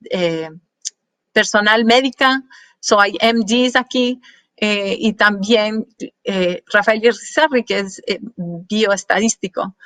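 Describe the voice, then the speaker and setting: A woman's voice: medium pitch, a bit bright, dry recording. A woman presenting research slides to an audience, talking with many fillers and restarts and slipping in English words. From Colombian parents. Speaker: guest speaker.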